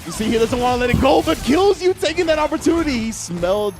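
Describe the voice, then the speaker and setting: A man giving live color commentary on a fighting game match, measured and analytical with casual slang. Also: very high voice, sing-song, over music.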